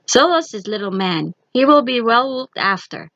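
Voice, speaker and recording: A woman's voice: a little high, very animated, moderate pace, a bit bright, dry recording, lo-fi sound. Casting: crafty storybook rogue, adult man played by a woman, suspicious, swooping delivery, dry sound. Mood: scheming. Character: scheming male stranger, adult, greedy, inquisitive. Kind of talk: children's storybook read-aloud.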